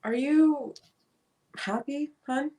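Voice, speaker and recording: A woman playing a chatty, affectionate and slightly vain older sister in a dramatic script reading, expressive and teasing. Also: high-pitched, very slow. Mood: teasing, probing.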